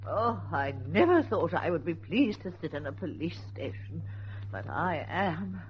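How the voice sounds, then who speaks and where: A woman's voice: on the low side, very animated, unhurried, a little hiss or hum. A woman playing a fussy, pedantic but affectionate companion in a radio drama. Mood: relieved, chatty. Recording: dull old radio recording.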